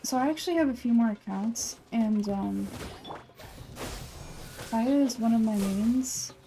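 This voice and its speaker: Mellow-voiced woman, casual gameplay chat. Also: a little high, measured pace, faint music behind, low-quality recording. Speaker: gaming video creator.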